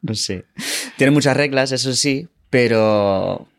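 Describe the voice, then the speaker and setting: A man's voice: medium pitch, slow pace, no room sound, clean studio sound. A man in his twenties from Ohio, a native English speaker, casually telling his personal story as an interview guest. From Ohio, United States. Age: 20s.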